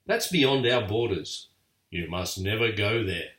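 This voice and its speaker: A man's voice: on the low side, animated, measured pace, fairly bright tone. A stern, wise lion king speaking firmly to his son, read by an older grandfather in his near-natural voice. The sound is roomy and set back from the mic, bedtime-story style. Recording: echoey room, far from mic.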